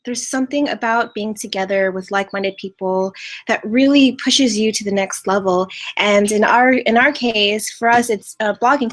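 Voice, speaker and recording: Upbeat chatty woman, fairly high voice. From California. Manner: chatty, upbeat, with frequent fillers and restarts. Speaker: online business host. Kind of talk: live-streamed training talk. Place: indoors at a family home.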